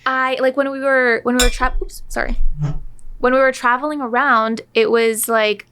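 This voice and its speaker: A woman's voice: sits high, steady tone, normal speed, fairly bright tone, clean tone. A woman in her twenties co-hosting a podcast, talking casually with many fillers. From born in Iraq, raised in San Diego. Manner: chatty, lots of fillers. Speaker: podcast co-host. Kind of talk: casual chat.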